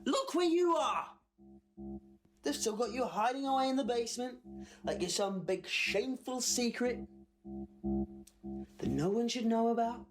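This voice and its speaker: Sci-fi audio hero male actor: cheeky, defiant time traveller, very animated delivery over loud music. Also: very high voice, a little slow, long pauses, exaggerated voice. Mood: mocking. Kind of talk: science fiction audio drama acting.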